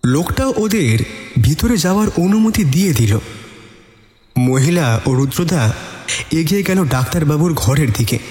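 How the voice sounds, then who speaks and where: A higher-pitched male narrator tells a suspenseful detective tale in a dramatic first-person style. His voice carries a long, echoing reverb, with music playing underneath. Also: darkish tone. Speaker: story narrator.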